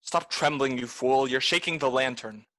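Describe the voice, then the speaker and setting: A man's voice: mid-range voice, normal speed, a bit bright, natural voice. A man voicing a greedy, scheming butler who bullies and mocks, in 1930s-style radio drama acting. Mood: scornful. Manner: bullying, mocking laughter. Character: greedy scheming male butler, harsh and scornful.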